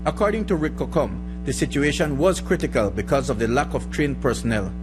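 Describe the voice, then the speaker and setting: Higher-voiced male news reporter over music. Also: lively intonation, a little fast. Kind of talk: scripted news narration. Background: music bed.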